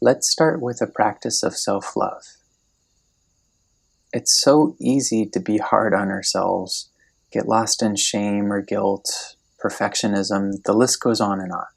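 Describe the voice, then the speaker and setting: Male meditation guide, low register with heavy vocal fry, gentle and unhurried scripted delivery. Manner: gentle, unhurried, soothing instruction with long pauses.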